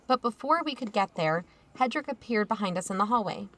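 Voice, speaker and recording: An actress playing a watchful, protective aunt in a first-person audio drama, conversational and wry. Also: female voice, mid-range voice, animated, fairly quick, a bit bright, natural voice. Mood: uneasy narration. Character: watchful, protective aunt narrating her own mystery.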